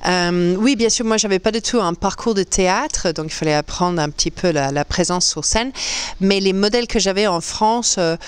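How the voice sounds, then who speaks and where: A woman comedian from England speaks in a smooth, clear voice. She answers interview questions casually, hesitating with plenty of fillers and laughing easily. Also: mid-range voice, moderate pace, no echo. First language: English. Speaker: comedian guest.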